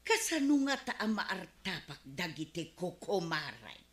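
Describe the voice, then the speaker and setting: A woman's voice: lowish voice, sing-song, a little slow. An actress playing an envious, quarrelsome village woman in a Filipino comedy radio drama, with an Ilocano accent and an emphatic delivery. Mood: scheming. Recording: roomy, echoing studio sound.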